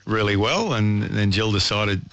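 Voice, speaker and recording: Low, gravelly Australian radio guest. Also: male voice, normal speed, fairly bright tone. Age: middle-aged. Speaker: former breakfast radio host, studio guest. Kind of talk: casual studio chat. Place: radio studio. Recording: AM radio broadcast picked up online.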